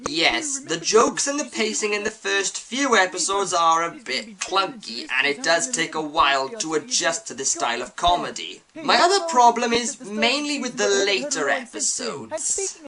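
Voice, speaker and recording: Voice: mid-range voice, pushed and bright. A reviewer giving semi-scripted commentary on a series. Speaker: video reviewer.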